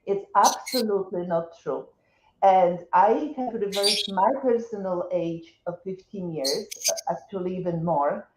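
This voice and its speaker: A woman's voice: on the low side, unhurried, darkish tone, echoey room, slight background noise, rough recording. A woman in her seventies from Poland, speaking with a Polish accent as an interview guest, talking in a hesitant way with many restarts and fillers. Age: 70s. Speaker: interview guest, wellness coach. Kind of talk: casual interview conversation.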